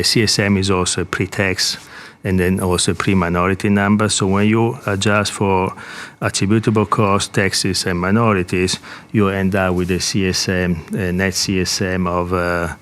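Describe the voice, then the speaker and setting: A man with a low voice gives an explanatory financial presentation in the role of a company executive. He speaks haltingly, with frequent hesitations and repeated words as he works through each point. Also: a bit bright, slight background noise.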